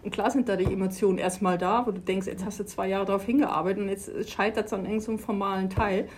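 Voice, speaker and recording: A woman's voice: mid-range voice, fairly even intonation, quick pace, darkish tone, a little hiss or hum. A middle-aged woman from Switzerland, talking enthusiastically in an interview about her work in sport. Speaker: sports organization official. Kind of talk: conversational interview.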